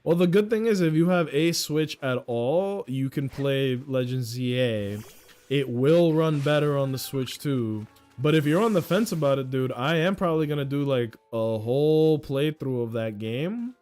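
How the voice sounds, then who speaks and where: A young man streaming a game, chatting casually with his viewers in a loud voice, swearing freely and greeting chat members by name. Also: medium pitch, moderate pace, faint music behind. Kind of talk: casual gaming livestream chat.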